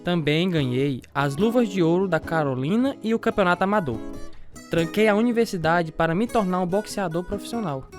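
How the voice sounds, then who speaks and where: Earnest boxer, guitar music behind. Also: male voice, high-pitched, lively intonation, brisk. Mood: reflective. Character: earnest former boxer recounting his life. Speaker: actor narrating a radio drama. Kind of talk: first-person dramatised narration.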